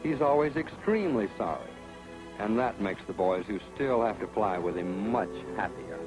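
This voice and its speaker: A man's voice: fairly low pitch, animated, normal speed, poor audio. A man narrating a 1940s training film in an authoritative, sardonic manner. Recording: old 1940s film soundtrack with music.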